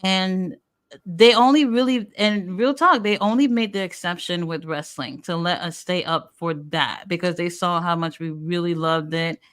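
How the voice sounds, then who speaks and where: A woman's voice: lowish voice, moderate pace, fairly bright tone, clean tone. A woman in her thirties, born in America with Haitian Creole as her first language, chatting candidly as a show host. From United States. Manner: candid, chatty storytelling with frequent fillers. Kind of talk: casual chat.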